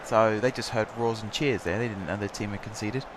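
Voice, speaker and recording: A man's voice: on the low side, steady tone, brisk, noisy background. A young man with a sore throat giving rambling, chatty commentary over a football management game. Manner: chatty, rambling, filler-heavy. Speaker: gaming commentator.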